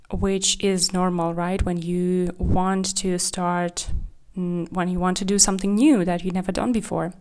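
A woman's voice: mid-range voice, a little mellow. Woman from Kazakhstan hosting a solo podcast. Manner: candid, reflective, with small slips of grammar. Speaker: podcast host.

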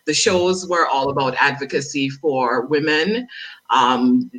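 A woman actress talking as an interview guest in a lively way, often laughing between phrases. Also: rumbling low, unhurried, rough recording. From Dominica by heritage, raised elsewhere. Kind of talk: casual interview chat.

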